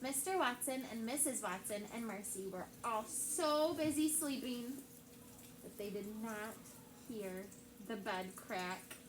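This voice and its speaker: A woman teacher reads a picture book aloud to her class, with lively character voices. Her voice sits slightly high. The classroom rings with echo, and background noise runs underneath. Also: slow.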